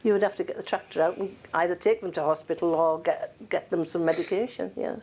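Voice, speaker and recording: A rural woman answering a news interview in a calm, practical way. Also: fairly low pitch, fast, crowd noise.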